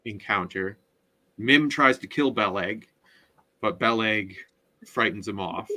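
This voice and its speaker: Slow, halting man with a forceful tone. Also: lowish voice. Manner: halting, searching for words. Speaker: podcast co-host. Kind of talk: casual book discussion and plot recap.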